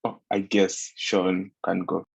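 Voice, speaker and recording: Very deep, flat, gravelly young man. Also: slow, no room sound. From Kenya. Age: young adult. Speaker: student panelist. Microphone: video call.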